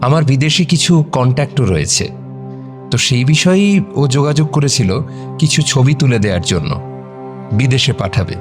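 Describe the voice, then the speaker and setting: A man's voice: mid-range voice, lively intonation, moderate pace, pauses often, music in the background, lightly acted. A man playing a polite, modest photographer under questioning in a radio detective drama, slightly hesitant. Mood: modest, friendly.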